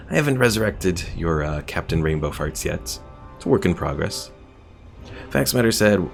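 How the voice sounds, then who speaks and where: A man's voice: sits low, vocal fry. A man streaming a strategy game with casual live commentary, thinking aloud and reading chat.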